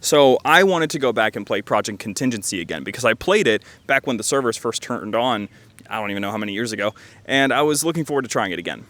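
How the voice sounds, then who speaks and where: A man's voice: mid-range voice, expressive, fast talker, fairly bright tone. A man giving casual, joking commentary over gameplay, rambling and slangy with a self-deprecating manner. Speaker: video game reviewer and host.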